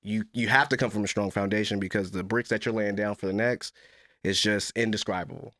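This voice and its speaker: A man's voice: on the low side, fairly even intonation, fairly quick, a bit bright, no room sound, clean studio sound. A man hosting a casual interview podcast, giving upbeat hype-style introductions with some slang. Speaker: podcast host.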